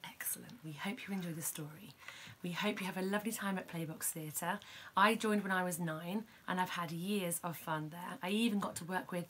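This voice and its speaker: A young woman reading a picture book aloud to her toddler in a child's bedroom, animated and coaxing, with quiet asides. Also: fairly low pitch, a little fast, a little hiss or hum. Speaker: parent reading a bedtime story.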